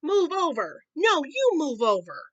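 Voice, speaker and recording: A woman's voice: sits high, huge pitch swings, unhurried, no room sound, highs cut off, pitched above the performer's own voice, full character voice. A woman voicing greedy, squabbling young bear cubs, insistent and bossy, in a children's storybook reading. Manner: insistent, bickering.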